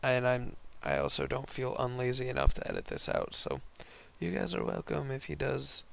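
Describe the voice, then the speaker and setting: Gravelly, hoarse young man doing casual game commentary. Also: mid-range voice, big pitch swings, normal speed. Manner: rambling, slangy, laid-back. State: hoarse, voice partly lost from concert the night before. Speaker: host narrating online game battles.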